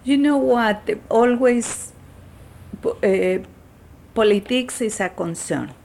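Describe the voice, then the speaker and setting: A woman's voice: a little high, lively intonation, slow, creaky, a little mellow. A middle-aged woman from Chile, a native Spanish speaker with a Chilean Spanish accent, answering interview questions in an earnest, thoughtful way. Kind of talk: reflective personal interview answers.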